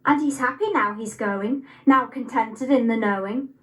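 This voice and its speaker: A young adult woman with an English accent, reciting poetry expressively with measured, deliberate phrasing. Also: on the high side, a bit bright, low-quality recording. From Nottingham, England. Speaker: poetry reader.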